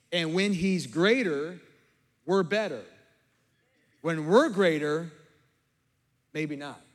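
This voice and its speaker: A middle-aged man preaching a sermon as pastor, his voice slightly hoarse, moving from calm teaching to fervent, emphatic preaching. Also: a little high. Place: church sanctuary with congregation, also streamed online. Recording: long hall reverb.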